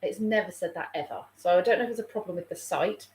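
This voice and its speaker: A woman's voice: fairly low pitch, quick pace, darkish tone, a little hiss or hum. Chatty woman in an echoey room. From England. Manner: chatty, rambling, reading out viewer comments. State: slightly snuffly, sore throat coming. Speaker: childminder live-streaming to viewers. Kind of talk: casual live stream chat. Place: room at home. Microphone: phone. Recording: roomy home room echo.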